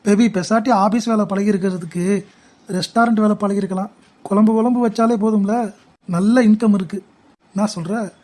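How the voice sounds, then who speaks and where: Lady doing a whiny cartoon husband, mellow mid-pitched voice, shifty and jealous. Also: steady tone, fairly quick, lightly acted. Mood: wheedling, envious. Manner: grumbling, evasive. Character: evasive, envious middle-aged husband, whiny schemer. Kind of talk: cartoon parody dialogue.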